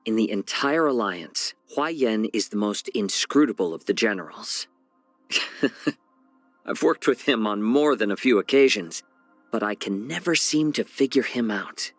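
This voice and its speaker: An actor voicing a genial, sly fox-man healer and chef in a video game, speaking softly and smoothly in a measured, gently teasing way. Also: fairly low pitch, dry recording. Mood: wary, musing. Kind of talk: scripted game character voice lines.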